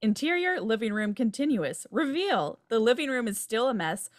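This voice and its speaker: A woman's voice: on the high side, very animated, moderate pace, no room sound, studio quality. A woman reading out stage directions as scripted narration on a video call. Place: indoor room on a video call.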